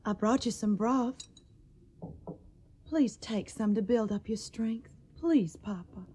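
An actress playing a devoted, anxious young daughter in a radio drama. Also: female voice, on the high side, slow pace, warm tone, slightly rough. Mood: coaxing, anxious.

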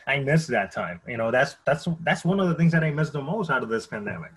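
Podcast guest: Puerto Rican-accented man, 30s, middle-pitched, conversational personal storyteller who repeats words. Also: expressive, fast talker, a bit bright, slightly rough. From Puerto Rico.

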